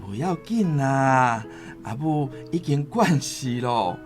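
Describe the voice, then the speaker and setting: A man's voice: medium pitch, expressive, slow pace. An actor playing an easygoing, soothing father of many daughters in a Taiwanese Hokkien radio drama. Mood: tender, reassuring. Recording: music behind.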